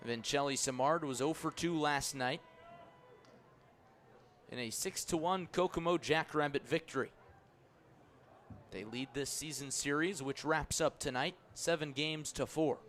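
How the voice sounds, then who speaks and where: A man with a somewhat high-pitched voice calls a baseball game live on the radio. His play-by-play comes in crisp, clipped phrases, as a sports announcer delivers it. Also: unhurried, pauses often, fairly bright tone.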